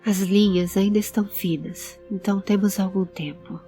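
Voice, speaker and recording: A woman voicing a serious, pensive male adventurer who keeps calm and gives instructions in an audio drama. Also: medium pitch, normal speed, soft background music, natural voice. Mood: grave. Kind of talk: audio drama character acting.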